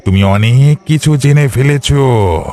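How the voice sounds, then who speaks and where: A man's voice: medium pitch, takes it slowly, a little mellow, lightly acted. A man voicing a sinister servant in a horror cartoon, drawling with mock-polite menace. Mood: quiet menace. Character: sinister servant cornering a young master.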